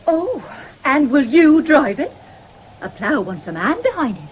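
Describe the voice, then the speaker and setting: An actress in a 1970s radio drama playing a stern, stubborn farming widow who is blunt and forthright. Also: female voice, high-pitched, big pitch swings, a little slow. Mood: indignant, defiant. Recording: noisy old radio drama recording.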